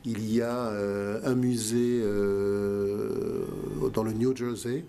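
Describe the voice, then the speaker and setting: A man's voice: lowish voice, little pitch movement, takes it slowly, mellow, slight background noise. A man guest on a talk show, speaking earnestly with many fillers and restarts. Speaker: guest, museum director. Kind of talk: radio talk show interview.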